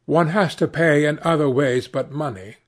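A man voicing a sincere, moralistic gentleman painter in an audiobook, with an earnest, reproachful manner. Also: a little high, normal speed, pitched above the performer's own voice, lightly acted. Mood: concerned objection. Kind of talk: audiobook character dialogue.

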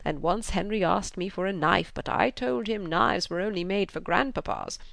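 A woman voicing a gentle, fretful, doting old father in an audiobook, plaintive and hesitant, rambling along. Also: mid-range voice, very animated, moderate pace, vocal fry, dry recording. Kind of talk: audiobook character reading of a classic novel.

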